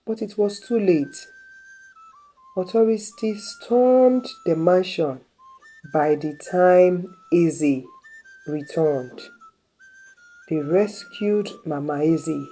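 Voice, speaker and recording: A woman narrates a folktale at a very slow, deliberate pace. Her voice is mellow and fairly low, and she leaves dramatic pauses between phrases. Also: soft background music, low-quality recording. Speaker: storyteller.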